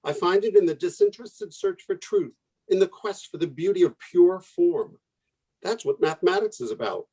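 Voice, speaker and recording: A man acting in a radio play as a skeptical, idealistic young mathematician, with a soft-edged husky voice. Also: sits high, animated, moderate pace, exaggerated voice, low-quality recording. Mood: exasperated, ardent.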